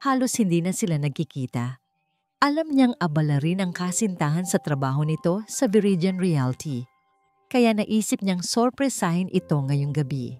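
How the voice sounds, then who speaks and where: A woman's voice: lowish voice, mellow. A woman reading a romance story as dramatic scripted narration, with music playing behind her. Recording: music bed under the voice.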